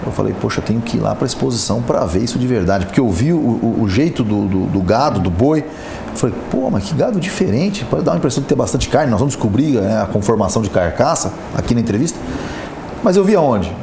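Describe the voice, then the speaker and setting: A lively, chatty man, hosting a business talk show. His voice sits in the middle range. The studio sounds roomy, with steady noise behind him. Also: medium pitch, quick pace, gravelly. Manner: lively, chatty, plugs sponsors. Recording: echoey studio with background noise.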